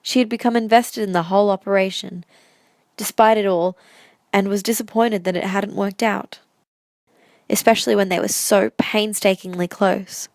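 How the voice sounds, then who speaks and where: A woman's voice: mid-range voice, steady tone, normal speed, darkish tone, no room sound. A woman reading scripted story narration for an audio drama.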